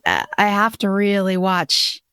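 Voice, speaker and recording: A woman's voice: medium pitch, sing-song, measured pace, pushed and bright, clear, resonant tone, no room sound, studio quality. A woman in her forties from Hawaii, a podcast guest telling her story in a candid, reflective way with frequent restarts. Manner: reflective, many restarts and "you know".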